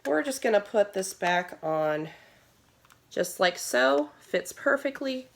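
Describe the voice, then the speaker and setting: A woman's voice: medium pitch, sing-song, takes it slowly. An American woman in her thirties giving a casual, rambling video tutorial in a nasal, congested voice, recorded at home. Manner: informal, rambling with asides. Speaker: gaming video host. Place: small room at home, indoors. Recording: noisy home recording with handling clicks.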